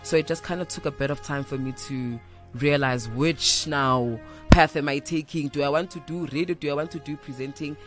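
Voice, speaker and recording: A young South African woman with a deep voice, talking candidly and playfully as an interview guest, slipping into Zulu at times. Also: normal speed, music in the background. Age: young adult. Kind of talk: casual radio interview, storytelling.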